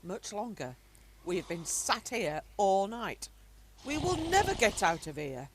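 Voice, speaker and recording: A woman's voice: medium pitch, big pitch swings, measured pace, noisy background. A woman playing a prim, nagging English wartime wife and mother in an amateur radio play. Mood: anxious, impatient. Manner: indignant, nagging.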